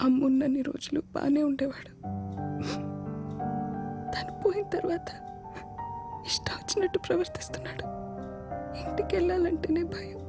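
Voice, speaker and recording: A guarded young woman in a film drama. Her voice is high and reserved. A loud score plays behind her. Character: guarded, curt young woman with a troubled home. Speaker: film actor. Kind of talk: Telugu film dialogue. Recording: loud film music under dialogue.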